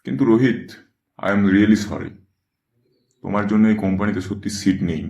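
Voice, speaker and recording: A man voicing a formal company boss in a horror cartoon, speaking in a measured way. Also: on the low side, fairly even intonation, vocal fry, darkish tone. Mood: politely apologetic. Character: formal company boss turning away a job applicant. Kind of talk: Bengali horror cartoon voice acting. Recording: echoey room sound.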